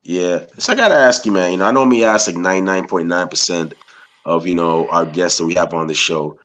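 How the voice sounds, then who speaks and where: Low-voiced guy from guyana hosting an interview, laid back, lots of "you know" and "man". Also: a little fast, fairly bright tone, slight background noise. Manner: loose, rambling questions full of "you know" and "man". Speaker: interviewer, podcast host. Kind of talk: casual interview chat.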